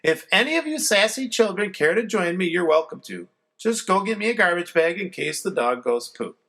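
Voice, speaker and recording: A man reading a children's story aloud, voicing a fussy, exasperated mother in a high put-on voice. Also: very animated, normal speed, a bit bright, heavily acted. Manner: high, scolding, sing-song. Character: fussy exasperated mother, middle-aged woman.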